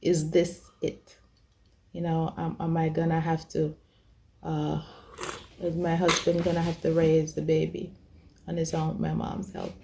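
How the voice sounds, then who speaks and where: A woman's voice: low-pitched, monotone, a little slow, vocal fry, long pauses, dark tone, slight background noise. A woman in her forties telling her personal testimony in a hesitant way as host. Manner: hesitant, many fillers and restarts. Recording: music bed behind.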